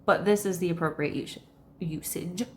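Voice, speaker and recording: Sassy woman with a lowish voice. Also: moderate pace, slight background noise. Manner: sassy, blunt, swearing, expressive. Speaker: video creator reacting to a game. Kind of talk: casual commentary with dramatic read-aloud.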